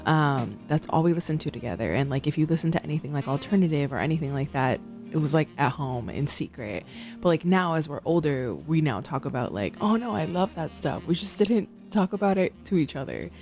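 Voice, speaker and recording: Brief: low-voiced young woman radio DJ, rambling and chatty, soft music bed underneath. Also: animated, fairly quick. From San Francisco. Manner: chatty, rambling, many ums and likes. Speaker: radio show host. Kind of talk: casual radio chat between songs. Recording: faint music behind.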